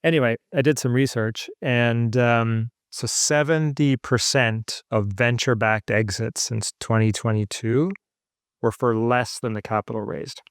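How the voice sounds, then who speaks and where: A man with a North American accent, raised in Scotland, hosts a podcast with a smooth, clear voice. He chats casually and agrees quickly. His sound is dry and close. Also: medium pitch, fairly even intonation, no room sound. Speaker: podcast host. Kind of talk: casual interview chat.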